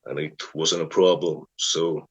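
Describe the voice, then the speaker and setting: Slow, deep-voiced musician. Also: male voice, flat delivery, breathy edge, rough recording. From Finland. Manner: slow, hesitant, dry and wry. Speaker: guest, band frontman. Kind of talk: interview answers.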